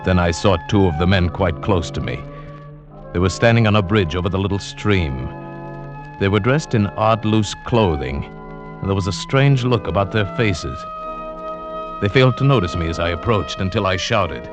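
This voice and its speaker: Deep-voiced adventurer, music underneath. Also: male voice, expressive, normal speed. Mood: wondering, narrating. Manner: theatrical, dramatic storytelling. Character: mountaineer from Bogota, narrating his adventure, eager and self-assured. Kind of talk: vintage radio drama acting, narration and dialogue. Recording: vintage radio broadcast with music behind.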